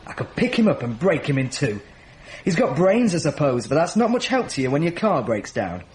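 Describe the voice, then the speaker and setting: An actor playing a simple, earnest, wounded young village mechanic in a radio drama, speaking plainly and haltingly. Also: male voice, fairly high pitch, big pitch swings. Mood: resentful.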